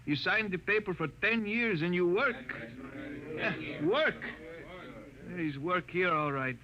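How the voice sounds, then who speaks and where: An actor playing a young, weary Mexican laborer in a 1950s radio drama, speaking broken English with a Mexican accent. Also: male voice, sits high, expressive, a little slow, a crowd in the background. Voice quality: broken English, hopeless and plaintive. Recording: muffled old radio broadcast with hiss.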